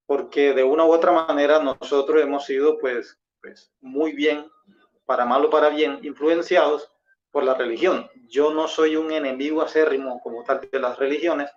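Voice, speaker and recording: Higher-voiced Colombian man, dull lo-fi sound. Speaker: debate participant, former pastor. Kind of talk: expository debate talk. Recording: dull lo-fi audio.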